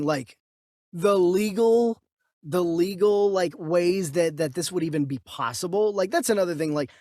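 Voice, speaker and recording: A man co-hosting a casual discussion, reasoning through his opinions in a halting way and repeating himself. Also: fairly high pitch, a little mellow, no room sound, studio quality. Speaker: co-host of a movie news show.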